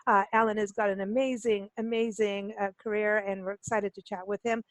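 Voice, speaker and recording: A woman's voice: mid-range voice, level tone, fairly quick, dry recording. A woman co-hosting a radio talk show in a chatty, effusive way, repeating words for emphasis. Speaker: radio show co-host and travel publisher.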